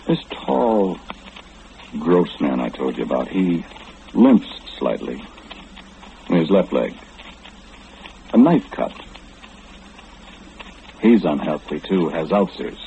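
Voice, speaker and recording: An actor playing an eerie, all-knowing mind reader in old radio horror, speaking slyly and teasingly, straight to the listener. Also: male voice, low-pitched, sing-song, takes it slowly, gravelly, pauses often, warm tone, heavily acted. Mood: sly, ominous. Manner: sly, insinuating, theatrical, speaking confidingly to the listener. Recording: muffled, noisy old radio broadcast.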